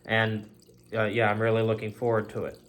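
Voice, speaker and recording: A man's voice: fairly low pitch, completely flat delivery, fairly quick, fairly bright tone. A man hosting a faith-themed talk show, speaking in an earnest, rambling solo monologue and often circling back to stock phrases.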